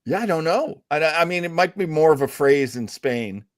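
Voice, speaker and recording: A man's voice: a little high, lively intonation, normal speed, no echo, clean studio sound. A man co-hosting a casual fan podcast, talking in an informal conversational way. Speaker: podcast co-host.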